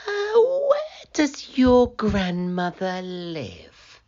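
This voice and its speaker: A woman voicing a wicked, greedy male wolf in a storybook, savoring words in a falsely soft, coaxing way. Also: mid-range voice, huge pitch swings, drawn-out words, dull audio, pitched above the performer's own voice, full character voice. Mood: sly scheming.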